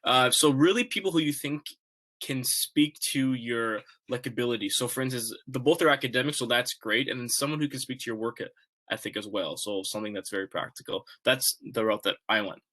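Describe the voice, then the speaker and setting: Bright-voiced young man on a video call. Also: medium pitch, brisk. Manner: chatty, rambling, many likes and restarts. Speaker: student ambassador. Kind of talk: casual advice on a video call.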